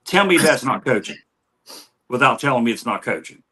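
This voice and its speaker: A man's voice: mid-range voice, expressive, brisk, pushed and bright, husky. A man on a sports talk panel, making an emphatic, rhetorical point.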